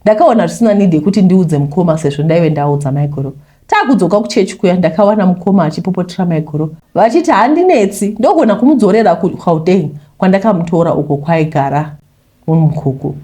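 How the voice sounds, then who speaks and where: A Zimbabwean woman narrates a personal confession at a brisk pace. Her voice is soft-edged and mellow, sitting a little low. She keeps it conversational, slipping English phrases into the story. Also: expressive. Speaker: YouTuber reading a listener's confession.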